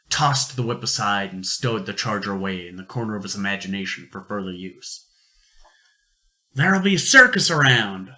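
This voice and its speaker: A man's voice: on the low side, a bit bright. A man narrating a story expressively as a storyteller and co-host.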